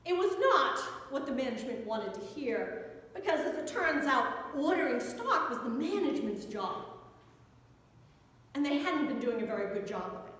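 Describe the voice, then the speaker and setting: Animated high-voiced American woman preaching, very echoey. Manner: animated, wry storyteller who acts out dialogue. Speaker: pastor. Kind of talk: storytelling sermon. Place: inside a church. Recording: echoey church hall with long reverb.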